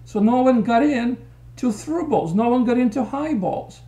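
A man's voice: very high-pitched, animated, moderate pace, warm tone. A middle-aged man giving an opinionated post-match soccer analysis, talking to a webcam in a small room. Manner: opinionated, gestures while explaining tactics. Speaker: soccer commentator. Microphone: webcam at desk.

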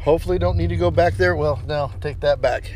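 High-voiced American man vlogging in a noisy truck. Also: brisk, a little mellow. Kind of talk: casual chat to camera while driving. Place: inside a pickup truck cab while driving. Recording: noisy car interior, engine and road noise.

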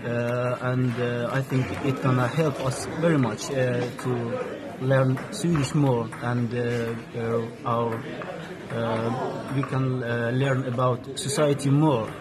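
Halting young non-native speaker, slow, crowded room. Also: male voice, medium pitch, flat delivery. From Afghanistan. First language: not English. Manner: halting, searching for words. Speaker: student interviewee. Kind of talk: interview answers. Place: indoor cafeteria. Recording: busy chatter in the room.

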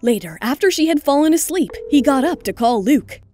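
A woman's voice: high voice, lively intonation, a little fast, darkish tone, faint music behind. A woman narrator reading a scripted romantic drama in audiobook style.

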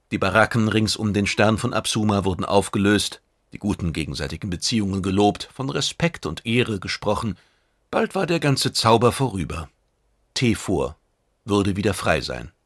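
A man narrates science fiction in a fairly deep voice. His delivery is measured and deliberate, with dramatic emphasis. The sound is dry and free of room echo. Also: creaky, fairly bright tone. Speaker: audiobook narrator.

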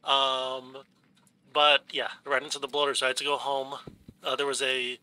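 A man's voice: mid-range voice, level tone, moderate pace, bright, forceful tone, smooth, low-quality recording. A man with a stuffed, runny nose giving casual commentary over his game footage, rambling along with many ums and restarts. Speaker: gaming YouTuber. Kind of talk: casual let's play commentary.

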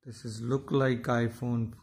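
Slow-talking man with a gravelly creak. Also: mid-range voice, little pitch movement, darkish tone. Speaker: tech tutorial presenter. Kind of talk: informal step-by-step how-to narration.

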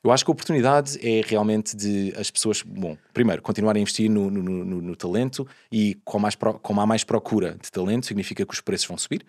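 Fast-talking Portuguese man, dry studio sound. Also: on the low side, no room sound. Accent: European Portuguese. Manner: fast, animated, repeats words while thinking. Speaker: guest, crypto company founder. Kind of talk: casual interview chat. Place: podcast studio. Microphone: boom-arm studio mic.

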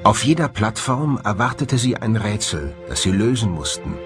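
A man's voice: on the low side, sing-song, moderate pace. A man reading scripted documentary narration. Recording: music bed under the voice. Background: music.

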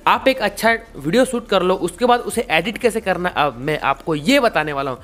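A man's voice: high-pitched, lively intonation, moderate pace, a bit bright. A man in his twenties with an Indian accent, hosting a casual step-by-step tutorial in a repetitive, instructional way. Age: 20s. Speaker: YouTube tutorial host.

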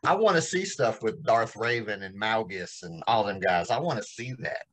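A man's voice: fairly low pitch, expressive, normal speed, fairly bright tone. A man in his forties talking casually as a podcast co-host on a video call. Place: indoors in a small home room.

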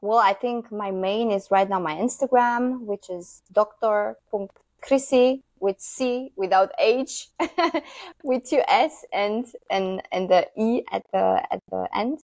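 A woman's voice: mid-range voice, takes it slowly, a little mellow, no echo. A woman in her thirties with a Romanian accent, a guest dermatologist talking emphatically with many fillers on a video call. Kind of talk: casual interview chat. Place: indoor room with sofa. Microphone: lapel mic on remote video call.

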